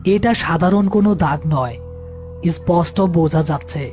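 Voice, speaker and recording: A man's voice: a little high, unhurried, darkish tone, soft background music. A man narrating a scripted horror folk tale as storyteller.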